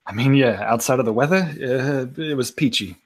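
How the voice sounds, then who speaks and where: A man's voice: mid-range voice, moderate pace. A man playing a roleplay game, improvising in a casual, tentative, conversational way.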